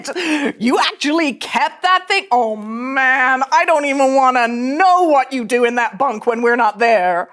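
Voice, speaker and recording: A woman's voice: high-pitched, a little slow, hardly any pauses, a bit bright. A woman playing a brash, irreverent young starship ensign in a sci-fi comedy, blunt and sarcastic. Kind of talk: animated sci-fi comedy table read.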